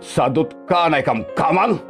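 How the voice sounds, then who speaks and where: A man's voice: a little high, expressive, normal speed, natural voice. A man with an Ilocano accent playing a scornful, nagging father-in-law in a radio drama, scolding with exclamations and sarcastic questions. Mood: angry, nagging. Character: nagging, scornful father-in-law berating his daughter-in-law as lazy. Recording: music bed behind the dialogue.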